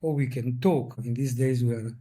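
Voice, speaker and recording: A middle-aged man from Italy, whose first language is Italian, speaks English with an Italian accent as an interview guest. He talks in a thoughtful, measured way and searches for words. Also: medium pitch, steady tone, dark tone. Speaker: guest, veterinarian. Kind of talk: reflective interview talk.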